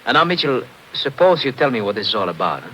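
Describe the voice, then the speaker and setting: Courteous military captain, fast, sharp delivery, slightly hissy vintage radio recording. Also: male voice, medium pitch, big pitch swings, poor audio. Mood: businesslike. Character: courteous, businesslike foreign army captain. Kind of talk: 1950s radio adventure acting.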